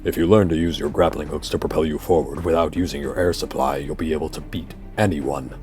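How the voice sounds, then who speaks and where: Man acting a very deep-voiced stern army captain. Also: fairly even intonation, brisk, over music. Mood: instructive. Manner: authoritative, curt. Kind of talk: audio drama acting.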